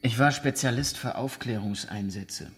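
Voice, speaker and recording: A man playing a traumatised, guarded ex-soldier in a naturalistic radio drama, speaking in halting, broken phrases. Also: medium pitch, lightly acted. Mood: guarded, terse. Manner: halting, broken sentences, breathy near-whispers. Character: traumatised ex-soldier, haunted, guarded former team leader.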